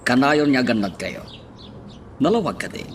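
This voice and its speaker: An actor playing an authoritative boss giving orders to staff in a radio drama. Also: male voice, mid-range voice, very animated, normal speed, slight background noise, close to an everyday voice. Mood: stern.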